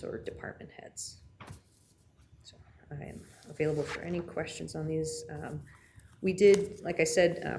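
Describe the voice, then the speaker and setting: Brief: woman, municipal staffer at the podium, monotone with some vocal fry. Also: low-pitched, slight background noise. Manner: steady, many fillers, trailing off quietly. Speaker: city grants staff presenting to council. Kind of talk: formal council presentation. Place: city council chamber.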